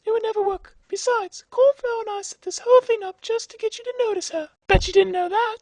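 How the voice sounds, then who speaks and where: A performer voicing a sarcastic, bossy young female woodland creature in a comedy cartoon parody, with a squeaky voice and dry delivery. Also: fairly even intonation, fast, a little mellow, no echo. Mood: smug.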